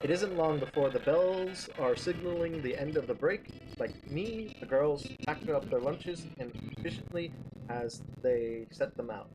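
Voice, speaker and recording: A man narrating a first-person story aloud, reading haltingly with small stumbles. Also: on the high side, music in the background. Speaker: streamer reading a visual novel. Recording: clearly noisy background.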